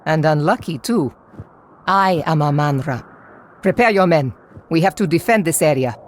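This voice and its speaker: An actress playing a proud, resolute warrior leader in a video game, speaking with solemn command. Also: female voice, low-pitched, animated, moderate pace. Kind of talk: video game cutscene acting.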